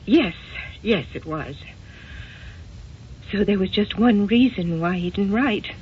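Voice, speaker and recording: A woman's voice: mid-range voice, a little hiss or hum, natural voice. Woman acting wounded American wife, dull old recording. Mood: reluctant, halting. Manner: emotional, halting, old-fashioned genteel exclamations. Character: wounded, evasive wife haunted by lost love. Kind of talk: old-time radio drama acting.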